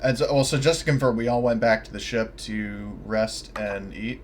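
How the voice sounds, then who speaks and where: Male tabletop player, medium-low voice, casual and tentative, background noise on an online call. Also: flat delivery, normal speed. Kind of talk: casual game-session chat. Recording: noisy voice-call audio.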